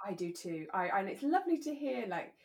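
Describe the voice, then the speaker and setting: A woman co-hosting a podcast, chatting casually about a radio drama in a giggly, halting, enthusiastic way. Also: mid-range voice, very animated, darkish tone. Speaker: podcast co-host.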